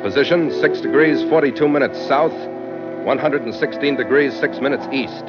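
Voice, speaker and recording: An actor playing a tough, wry sea captain in a 1940s radio drama, with a clipped, hard-boiled delivery. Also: male voice, medium pitch, normal speed, over loud music, natural voice. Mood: brisk, grave. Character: tough, wry seafaring captain narrating his log. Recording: muffled old radio recording.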